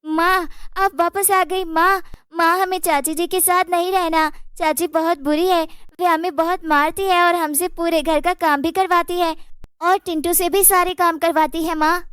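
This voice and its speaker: A woman's voice: very high-pitched, fairly even intonation, moderate pace, fairly bright tone, no echo, clean studio sound. A woman voicing a timid orphan girl in a cartoon story, a protective older sister who pleads respectfully and plaintively. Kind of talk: Hindi cartoon moral story.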